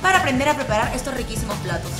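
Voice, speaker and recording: A woman's voice: high voice, very animated, rapid-fire, reverberant. A young woman hosting a food show, presenting with cheerful enthusiasm from inside a restaurant. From Peru. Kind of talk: upbeat TV presenting. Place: restaurant kitchen and dining room, indoors. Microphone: handheld mic. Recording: loud music behind.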